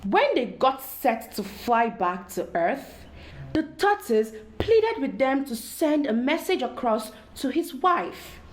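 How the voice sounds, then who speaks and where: A woman reading a story aloud to children in an expressive storytelling style, addressing young listeners directly. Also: high voice, slow pace.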